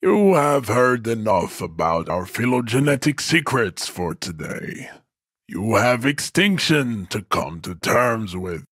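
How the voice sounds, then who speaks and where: Video game villain: imposing arrogant strongman, slow grandiose declamation with wide swings in pitch, contemptuous and formal. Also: very animated, a bit bright, slightly rough, dry recording, studio quality, exaggerated voice. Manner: pompous, declamatory, formal lecturing delivery. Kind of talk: video game character acting.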